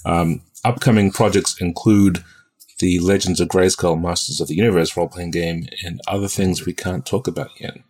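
Deep-voiced man, New Zealand-American accent. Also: fairly even intonation, moderate pace, a little mellow. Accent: New Zealand-American mix. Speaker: game designer guest. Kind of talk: casual podcast interview.

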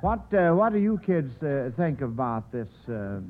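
An American man hosting a radio quiz show, ad-libbing haltingly with plenty of hems and haws. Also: on the high side, sing-song, faint music behind. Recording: old radio broadcast, narrow band, faint noise.

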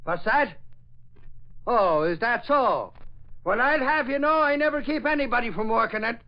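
A man's voice: extremely high pitch, animated, moderate pace. An actor playing a bumbling, boastful newspaperman, hemming and blustering in a 1940s radio drama. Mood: self-important. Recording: dull old radio recording.

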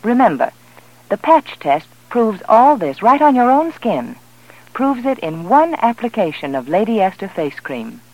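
A woman's voice: medium pitch, very animated, unhurried, darkish tone, muffled recording. A woman delivering a 1940s radio commercial with emphatic, persuasive, carefully articulated speech. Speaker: advertising spokeswoman.

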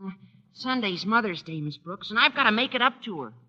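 A bright, high-voiced teenage schoolboy in vintage radio comedy style. He is earnest and a little bumbling, with a hesitant, gushing delivery on a dull old recording. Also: animated, fairly quick, heavily acted. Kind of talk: 1940s radio comedy acting.

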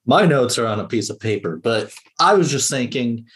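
Male podcast guest, mid-range voice, informal fan talk, halting delivery with a joking bent. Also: medium pitch, animated, breathy edge. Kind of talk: casual fan podcast chat.

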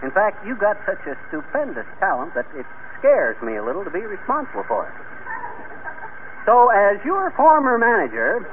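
An actor playing a blustery, fast-talking small-time showman in a radio comedy, bombastic and slangy, on a narrow, noisy vintage broadcast. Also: sits high, wildly swooping pitch. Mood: smooth flattery. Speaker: lead comic actor.

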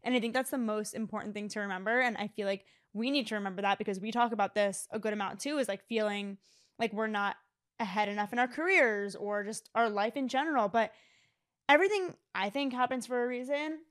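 A woman's voice: medium pitch, fairly bright tone. A woman in her late twenties co-hosting a podcast, talking candidly and earnestly in a casual chat, with frequent "like" fillers. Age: 20s. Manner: candid, earnest, speaks in quick runs with "like" fillers. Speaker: podcast co-host.

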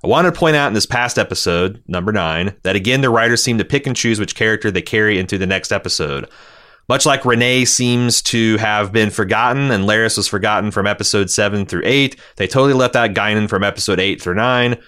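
Podcast host, male, lowish forceful voice, animated and rambling fan talk. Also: brisk. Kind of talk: casual fan commentary on TV, reading listener feedback.

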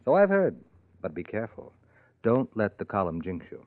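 Old muffled radio play, a sardonic bitter writer guy, needling, swingy pitch. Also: wildly swooping pitch, normal speed, no echo. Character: wry, sardonic, bitter failed playwright man. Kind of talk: old-time radio drama acting. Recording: muffled vintage radio recording, narrow band.

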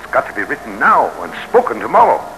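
A man's voice: medium pitch, huge pitch swings, fast talker, vocal fry, a bit bright, soft background music, exaggerated voice. An actor playing a proud, weary American senator in an old radio drama, oratorical and emphatic, torn by his conscience. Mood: brooding, strained. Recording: noisy vintage radio recording.

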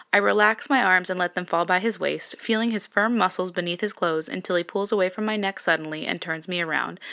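A woman podcast host reading a first-person romance story aloud in a quiet, intimate way, with self-corrections and laughing asides. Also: fairly low pitch.

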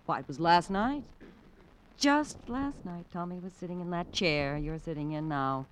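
An actress playing a snobbish, chatty middle-aged married hostess in an old radio drama, indignant and theatrical. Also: female voice, fairly low pitch, animated, moderate pace, natural voice. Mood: indignant, catty. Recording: noisy vintage radio recording.

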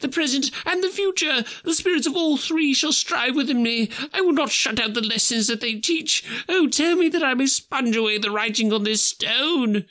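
A man voicing an old miserly gentleman who pleads in a high, strained voice. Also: full character voice. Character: old miserly man, terrified then overjoyed.

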